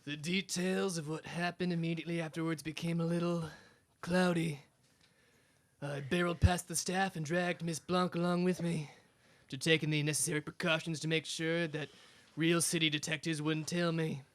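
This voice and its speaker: A man acting as a self-important gumshoe in a radio noir parody. The voice is fairly high and forceful, with hammy delivery that trips over its own words. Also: level tone, normal speed, natural voice. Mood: brooding, mock-dramatic. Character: bumbling, self-important private detective.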